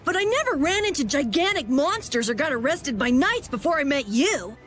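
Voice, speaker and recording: A woman voicing an excitable, timid young boy sidekick in an anime video game. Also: high-pitched, normal speed, faint music behind. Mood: whiny.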